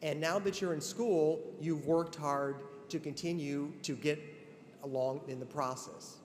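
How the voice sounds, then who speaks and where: A man's voice: on the high side, steady tone, unhurried. An older man giving an earnest, conversational ceremonial address, with many restarts. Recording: long hall reverb, faint ambience.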